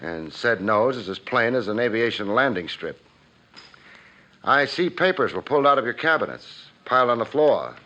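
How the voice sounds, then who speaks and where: A man's voice: mid-range voice, big pitch swings, moderate pace, sharp tone. A man playing a wisecracking, laid-back private detective who narrates and acts in a 1950s radio drama, in casual clipped phrases. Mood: skeptical, probing. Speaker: detective lead and first-person narrator. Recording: dull old radio recording.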